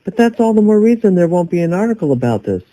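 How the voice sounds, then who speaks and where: A man playing a composed, pragmatic newspaper boss with a measured delivery in an audio drama. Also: high voice, sing-song, dark tone, rough recording. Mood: composed, dry.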